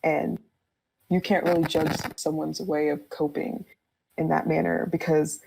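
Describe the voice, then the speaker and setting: A woman's voice: deep voice, flat delivery, a little slow, creaky, noisy background. A woman speaking candidly and informally on a podcast, explaining her personal views in a casual conversational style.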